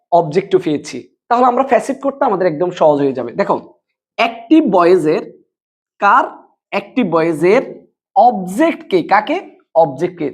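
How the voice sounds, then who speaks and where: A woman teaches a class in a lively, swooping intonation. Her voice is husky and sits at a middle pitch for a woman. She explains things step by step, repeating key points and posing questions to her students. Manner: repetitive, question-and-answer explaining. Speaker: English grammar teacher. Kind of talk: board lesson addressed to students.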